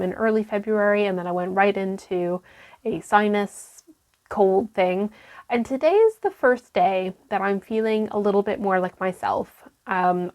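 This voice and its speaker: Croaky-voiced woman book vlogger. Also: mid-range voice. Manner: rambling, many ums and restarts. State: croaky voice after illness. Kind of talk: casual chat to camera.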